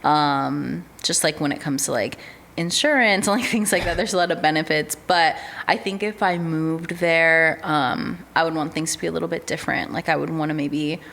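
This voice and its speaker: Low-voiced young woman, chatty with fillers. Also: normal speed, creaky. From United States. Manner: relaxed, chatty, with many fillers like "um" and "like". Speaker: podcast guest, artist manager. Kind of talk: casual interview chat.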